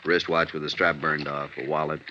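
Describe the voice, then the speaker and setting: An American actor playing a gruff, matter-of-fact police detective sergeant in a vintage radio drama, reporting in terse deadpan. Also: male voice, rumbling low, brisk, slight background noise. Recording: muffled vintage radio recording.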